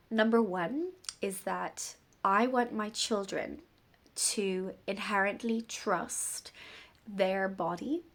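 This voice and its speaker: A woman in her thirties, a bit bunged up with a cold, chatting casually and rambling gently about parenting in an unplanned vlog. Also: mid-range voice, vocal fry. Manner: rambling, gentle, warm-hearted musing. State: congested from a cold. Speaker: parenting vlogger. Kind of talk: casual unscripted talk to camera.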